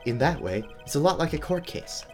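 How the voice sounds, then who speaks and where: Man as a cool, cryptic mentor, varied pitch. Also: very animated, brisk, faint music behind. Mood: knowing. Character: cool, cryptic older male mentor, former lawyer and poker player. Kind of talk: video game dialogue read aloud.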